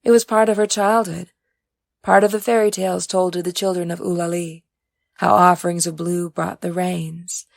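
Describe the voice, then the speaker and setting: A woman reading her own fantasy story aloud as expressive audiobook narration. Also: on the low side, normal speed, vocal fry, no room sound, clean studio sound.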